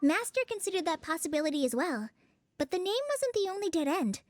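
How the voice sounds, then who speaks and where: A woman's voice: extremely high pitch, sing-song, a little fast. A woman voicing a polite, eager young female forest apprentice in a game. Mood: explaining. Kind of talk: game voice acting.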